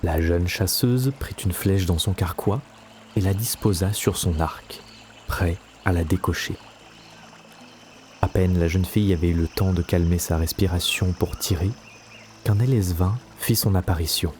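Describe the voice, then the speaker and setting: A man's voice: low voice, unhurried, darkish tone, a little hiss or hum. A man narrating a scripted fantasy audio story as a storyteller. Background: faint music.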